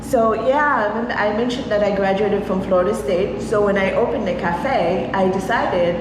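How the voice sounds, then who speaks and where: A woman's voice: mid-range voice, moderate pace, background noise. A middle-aged woman from Haiti, a cafe owner, telling her story in a casual interview with many fillers. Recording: very echoey room with loud ambience.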